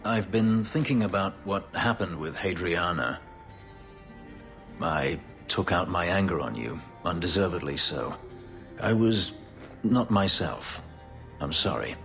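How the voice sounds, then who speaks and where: An actor playing a brooding, bitter elf and escaped slave in a video game, terse and intense. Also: male voice, sits low, lively intonation, measured pace, a bit bright, full character voice. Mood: remorseful, brooding. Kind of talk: dramatic video game acting. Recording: reverberant game audio with music.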